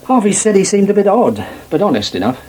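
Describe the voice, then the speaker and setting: An actor with an English accent playing an affable, slightly vague farmer and husband in a radio soap, hesitant and polite. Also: male voice, high voice, very animated, brisk, mellow, slightly rough, exaggerated voice. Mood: musing, vague. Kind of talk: naturalistic British radio soap acting. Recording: noisy old radio broadcast archive.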